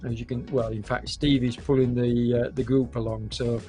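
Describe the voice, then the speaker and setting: A man with a cold commentating a live esports cycling race, hesitant and full of fillers, with a hoarse voice and occasional coughs. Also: mid-range voice, flat delivery, mellow, faint music behind. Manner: hesitant, many fillers, unhurried.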